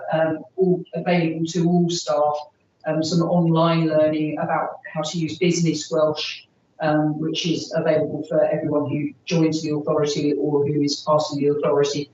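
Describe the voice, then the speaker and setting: A woman's voice: low-pitched, level tone, moderate pace, darkish tone, slight background noise. Hesitant woman in a distant echoing room. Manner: hesitant, many fillers. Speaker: park authority officer. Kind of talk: formal meeting response. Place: meeting room. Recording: roomy, distant, dull recording.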